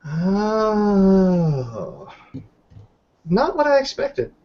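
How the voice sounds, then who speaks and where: A man's voice: high voice, huge pitch swings, drawn-out words, voice pushed up, full character voice. Man playing a wry, tipsy female werewolf, lo-fi. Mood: wry, teasing.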